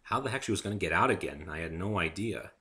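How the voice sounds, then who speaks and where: A man's voice: sits low, expressive, quick pace, bright, forceful tone. A man reading a first-person story aloud as a dramatic narrator.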